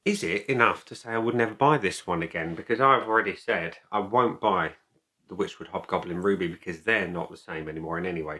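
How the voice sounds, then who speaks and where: A man giving a casual product review to camera as a video host, speaking in a halting, deliberate way and repeating phrases. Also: sits low, sharp tone.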